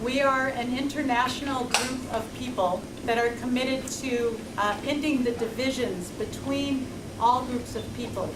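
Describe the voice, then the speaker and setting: A woman from an organization giving a steady, deliberate prepared statement. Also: on the high side. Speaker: organization representative. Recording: echoey distant hall recording with noise.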